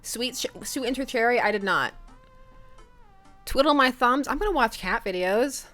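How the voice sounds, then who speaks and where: A woman's voice: fairly high pitch, expressive, moderate pace, fairly bright tone, faint music behind. Giggly young woman streaming a game. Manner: giggly, laughs often between lines; chatty, asks viewers questions. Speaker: streamer playing a dating game. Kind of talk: casual let's-play chat, reading game text aloud.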